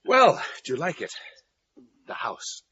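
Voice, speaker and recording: A man's voice: on the high side, huge pitch swings, a little slow, pushed and bright, lo-fi sound, slight background noise, full character voice. An actor with an English accent playing a roguish, teasing middle-aged father with a jocular, theatrical manner in a radio drama. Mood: jovial, wry.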